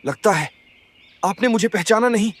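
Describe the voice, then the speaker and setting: A man voicing a loyal young wolf commander in an animated fable, respectful and eager in manner. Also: sits high, normal speed, a little hiss or hum. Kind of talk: Hindi animated moral story dialogue.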